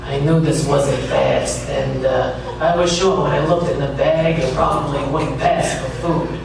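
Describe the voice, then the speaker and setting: A man playing a wisecracking, world-weary freelance gumshoe, narrating deadpan in live radio theater. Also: fairly high pitch, unhurried, gravelly. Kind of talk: hardboiled radio detective narration. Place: live stage in a pavilion. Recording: echoey hall from far off. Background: music.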